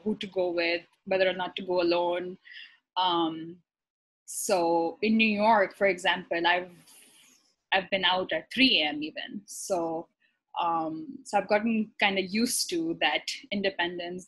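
Young woman, physician guest, from Pakistan. Slightly husky voice, casual, hesitant pacing, webcam call. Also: fairly low pitch, fairly bright tone, low-quality recording. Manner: thoughtful, many ums and restarts. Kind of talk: casual conversational interview. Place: bright apartment room, indoors. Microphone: laptop webcam on a video call.

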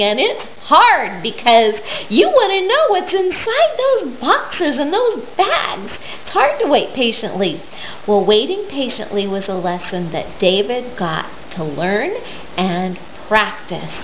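A middle-aged woman doing storytime for young children, speaking simply with questions and pauses, recorded in a living room. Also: a little high. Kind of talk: storytime talk and read-aloud for young children. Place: living room at home, indoors. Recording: roomy, dull sound with faint noise.

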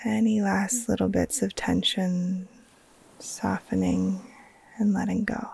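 Female yoga nidra guide: hushed, mellow and unhurried, breathy near-whisper with heavy vocal fry, soothing short phrases. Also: medium pitch, level tone. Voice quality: breathy, soft, often trailing into near-whisper. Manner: slow, soothing, hushed, with long pauses between short phrases. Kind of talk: guided relaxation meditation.

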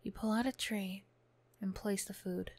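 Slow-speaking woman narrating ASMR. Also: mid-range voice, steady tone, a little mellow. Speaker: narrator of an audio roleplay. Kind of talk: scripted second-person ASMR narration.

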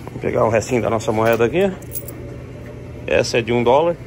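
A man's voice: mid-range voice, a little fast. Brazilian man vlogging casually over background noise. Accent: Brazilian Portuguese. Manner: informal, colloquial, fond of diminutives. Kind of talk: casual vlog chat, narrating chores to viewers. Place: inside a self-service laundromat. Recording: noisy laundromat ambience.

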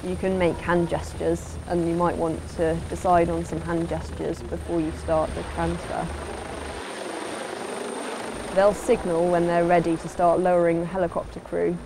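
A woman's voice: on the low side, flat delivery, normal speed, background noise. A woman giving an instructional voice-over as a sailing instructor, in a plain, explanatory way.

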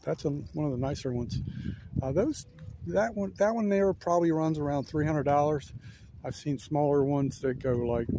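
A man casually narrating a walk-around outdoors as a fishing vlogger, in a laid-back, halting, rambling manner. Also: medium pitch, creaky, dark tone, a little hiss or hum. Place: outdoors on an ocean fishing pier. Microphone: handheld camera, held by speaker.

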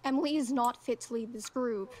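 A woman's voice: a little high, fairly quick, slight background noise, close to an everyday voice. A woman playing a stern, argumentative survivor with formal, clipped speech in a game roleplay. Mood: defiant. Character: stern, defiant young female zombie-apocalypse survivor challenging the leader.

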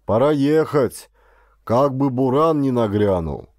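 A man's voice: mid-range voice, expressive, takes it slowly, darkish tone. A man voicing a caring, plain-spoken reindeer driver from the taiga in an audiobook. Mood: gently urging.

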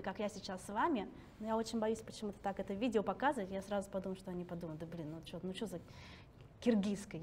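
A woman's voice: a little high, darkish tone, slight background noise. A woman in her thirties from Russia, talking casually and self-ironically to an audience in a library hall, laughing often. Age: 30s. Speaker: guest speaker.

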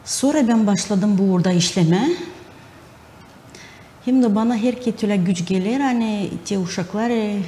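A middle-aged woman answering interview questions haltingly, searching for words. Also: medium pitch, mellow. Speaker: interview guest, film studio director. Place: TV talk studio. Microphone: lapel. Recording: faint background noise.

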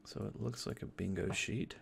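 A man with a lowish, creaky voice walks through a software tutorial step by step. He sounds casual and halting, as if thinking aloud while he works. Faint noise, typing and clicks sit behind him. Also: fairly even intonation. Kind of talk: informal unscripted screencast tutorial, thinking aloud. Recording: noisy room with keyboard clicks. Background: typing and mouse clicks.

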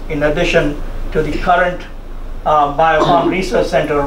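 A middle-aged man with an Indian accent gives an encouraging, conversational keynote to students, often checking in with "right?" or "okay?". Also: on the high side. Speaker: senior research executive giving a keynote. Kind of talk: orientation keynote speech to students. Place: conference room, indoors. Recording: roomy, distant mic, noisy room ambience.

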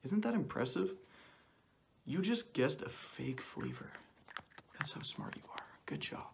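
A young man speaking softly through a cloth mask in an ASMR roleplay as an examiner, often dropping into whispers and repeating short phrases close to the mic. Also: fairly high pitch, sing-song, unhurried. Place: small plain indoor room. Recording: clearly noisy home recording with clicks.

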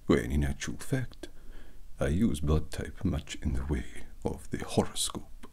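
A man playing a solemn, literal-minded vampire, speaking in stilted formal phrases in a hushed ASMR roleplay. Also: very deep voice, expressive, measured pace, heavily acted. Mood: solemn, evasive. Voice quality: gravelly whisper.

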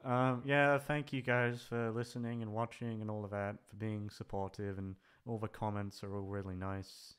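A man's voice: fairly low pitch, level tone, normal speed, hardly any pauses, smooth. A man chatting casually in a rambling, hesitant way, swearing freely as he goes. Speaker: ASMR voice actor, channel creator. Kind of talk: rambling casual farewell chat.

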